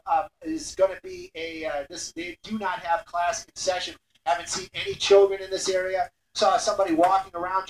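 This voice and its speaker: A man giving live breaking-news commentary as a helicopter reporter, halting and repeating himself as he describes the scene. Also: high-pitched. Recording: roomy, distant airborne radio link, noisy.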